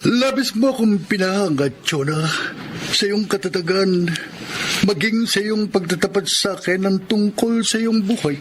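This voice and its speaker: A man acting high-voiced, kindly older gentleman. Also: normal speed, background noise, natural voice. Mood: pleased, fatherly. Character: Alfredo, kindly older rich widowed employer, fatherly. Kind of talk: Filipino radio drama acting.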